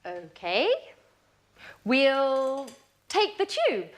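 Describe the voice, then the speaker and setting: High-pitched, coaxing woman friend. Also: exaggerated voice. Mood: upbeat. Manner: deliberate, expressive, coaxing. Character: patient, reassuring woman friend, easily exasperated. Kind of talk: slow, clear scripted sitcom dialogue for learners.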